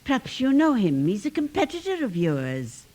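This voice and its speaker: An actress playing a sprightly, teasing woman in her seventies in a 1950s radio comedy, chatty with deadpan delivery. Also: fairly high pitch, normal speed. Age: older. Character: sprightly teasing old lady train passenger, seventy-two. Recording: hissy old radio recording.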